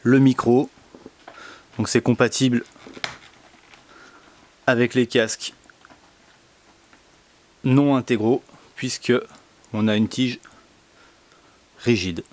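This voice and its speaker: A man giving a casual product demonstration indoors, speaking in a hesitant, halting way with many pauses. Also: mid-range voice, steady tone, darkish tone. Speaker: product reviewer.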